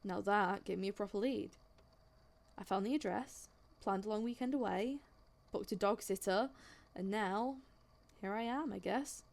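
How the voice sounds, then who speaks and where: A woman's voice: mid-range voice, moderate pace, creaky, natural voice. A woman playing an indignant, sarcastic young witness in an audio drama, speaking in a colloquial, exasperated way. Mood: wry recounting. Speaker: voice actor in a fan audio drama. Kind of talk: audio drama acting, conversational monologue.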